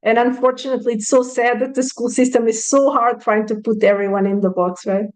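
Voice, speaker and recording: A woman's voice: fairly high pitch, fairly even intonation, brisk, darkish tone, low-quality recording. A woman in her thirties, speaking frankly and reflectively as an interview guest, with many "you know" fillers. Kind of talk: casual interview conversation.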